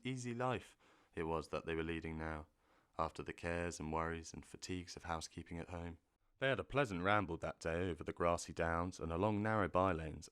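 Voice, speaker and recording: A man narrating a classic children's story with measured, expressive delivery on a close mic. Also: low voice, clear, resonant tone, no echo. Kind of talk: audiobook narration.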